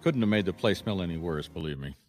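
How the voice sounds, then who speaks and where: A man playing a wry, persistent private detective in a radio mystery, talking in measured, dry wisecracks. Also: sits low, expressive, a little mellow, soft background music. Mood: matter-of-fact, wry.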